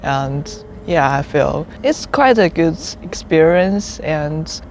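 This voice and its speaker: A woman's voice: low-pitched, animated, takes it slowly, a little mellow, a little hiss or hum. A young woman in her twenties from China, a native Chinese speaker, answering questions in a casual, frank way with restarts, recorded outdoors on a rooftop terrace. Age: 20s. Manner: open, frank, with frequent restarts and laughs. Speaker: interviewee.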